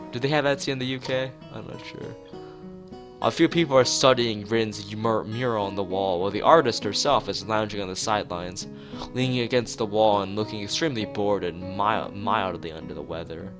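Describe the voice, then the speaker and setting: A man with a fairly low voice reads a visual novel aloud for a stream. He stumbles over words and goes back over them, dropping in offhand remarks. Guitar music plays clearly behind him. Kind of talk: live read-aloud of a visual novel with chat asides.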